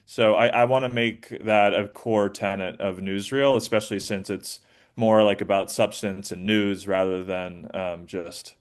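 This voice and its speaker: A man answering as an interview guest, talking informally and haltingly with many filler words. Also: fairly low pitch, fairly even intonation, clear, resonant tone. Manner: informal, halting, frequent "like" and "you know". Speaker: interview guest, app founder. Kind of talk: conversational interview.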